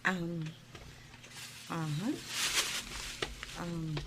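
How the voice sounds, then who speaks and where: A woman's voice: fairly low pitch, little pitch movement, extremely slow, background noise. A woman playing a polite young shopgirl in a radio drama.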